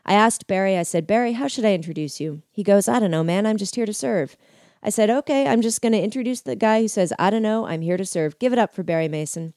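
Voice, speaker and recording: A woman voicing an energetic male event emcee with upbeat announcer patter. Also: sits high, fast, no room sound, studio quality, voice pushed up.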